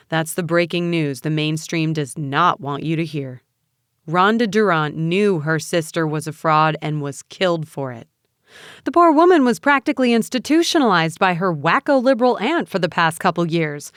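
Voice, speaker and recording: A woman's voice: on the low side, clean tone, no room sound, studio quality, natural voice. A woman voicing an indignant conservative political broadcaster, ranting with scornful emphasis in a story.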